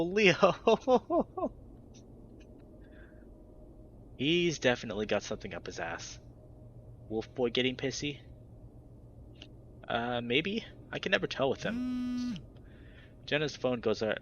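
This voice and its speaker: A man playing through a visual novel, reading the narration aloud and reacting with animated, swearing commentary. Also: medium pitch, slight background noise. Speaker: visual novel let's player. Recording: dull lo-fi audio with guitar music.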